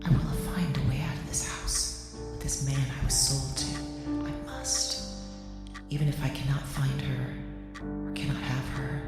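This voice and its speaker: Woman voicing a low, solemn trapped wife. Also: little pitch movement. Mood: defiant. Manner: solemn, measured, intense. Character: young woman trapped in forced marriage, defiant. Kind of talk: audio drama monologue. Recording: heavy hall reverb with music underneath.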